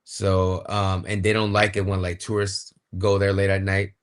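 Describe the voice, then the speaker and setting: A man with a lowish voice explains a place in a relaxed, conversational tone. He talks plainly, with some fillers, like a guest on a talk show. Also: moderate pace, smooth. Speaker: wrestler guest. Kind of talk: casual chat.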